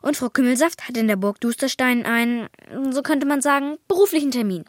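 A woman's voice: sits high, a little fast, dry recording, studio quality, lightly acted. A woman playing a candid, slightly sheepish schoolboy apprentice ghost hunter in a children's radio play.

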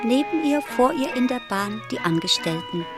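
A woman's voice: on the high side, sing-song, normal speed, over loud music. A woman playing a detached, ironic narrator in a scripted radio play.

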